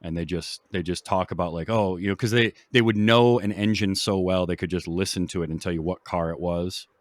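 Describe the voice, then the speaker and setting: Male podcast host, lower register, informal movie talk, dry studio-like sound. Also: fairly even intonation, fairly quick, dry recording. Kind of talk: casual film discussion.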